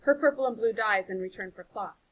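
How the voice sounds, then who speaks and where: A woman voicing a firm, sensible village weaver in a storybook, speaking with steady resolve. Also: mid-range voice, a little fast, breathy edge, natural voice, low-quality recording.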